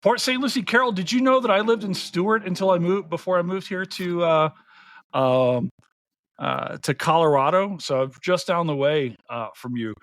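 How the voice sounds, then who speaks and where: A man's voice: high voice, moderate pace, a bit bright, dry recording. Chatty joking man, dry close sound. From Florida, USA. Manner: joking, chatty, self-deprecating. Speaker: podcast host. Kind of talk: casual livestream chat.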